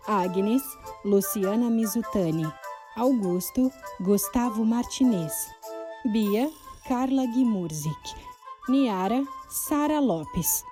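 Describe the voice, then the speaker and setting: Slow-paced woman announcer over music. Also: medium pitch. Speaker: podcast announcer. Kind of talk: scripted credits announcement.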